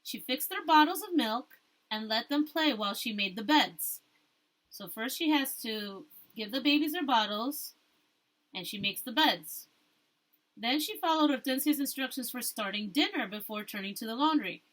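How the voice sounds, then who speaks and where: A woman teacher reading a storybook aloud, rereading stumbled phrases and pausing to explain things to her listeners. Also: a little high, lively intonation, a bit bright, poor audio.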